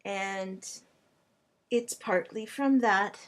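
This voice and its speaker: Woman, native Hungarian speaker, language tutor; unhurried vlog monologue, halting short phrases. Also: mid-range voice.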